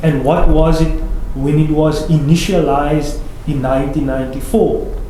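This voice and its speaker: A man leading a panel discussion, reflective and questioning, pausing often with "uh". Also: a little high, fairly even intonation, mellow. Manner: reflective, many "uh" pauses, poses questions. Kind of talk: discussion moderator. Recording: echoey room with faint noise.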